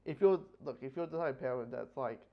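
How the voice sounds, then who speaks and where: A man giving a casual, unscripted review in a rambling, halting way, often repeating words and restarting, with dull lo-fi sound. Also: mid-range voice, gravelly. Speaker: book review host.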